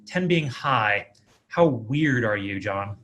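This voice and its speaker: A man's voice: fairly low pitch, expressive, takes it slowly, a bit bright, slight background noise. A man hosting a casual podcast interview in an enthusiastic way.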